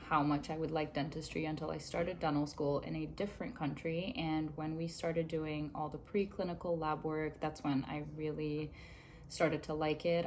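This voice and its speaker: A young woman from Zambia, a dental student, answering interview questions to camera in a measured, earnest way from a small room. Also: deep voice, a little mellow, slight background noise. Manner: measured, earnest, explains with hand gestures. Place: small indoor room, plain wall.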